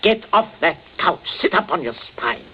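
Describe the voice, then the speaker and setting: A man's voice: high voice, very animated, moderate pace, breathy edge, exaggerated voice. An actor playing a domineering, anxious middle-aged father in a radio drama, stern and pompous, giving clipped orders. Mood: agitated. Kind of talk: 1940s radio drama acting. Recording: muffled, noisy vintage radio recording.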